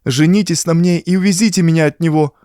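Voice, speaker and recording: A man's voice: a little high, fast talker, warm tone, no echo, clean studio sound, voice pushed up, lightly acted. A man voicing a terrified young woman in an audiobook, pleading urgently and in distress. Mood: desperate pleading.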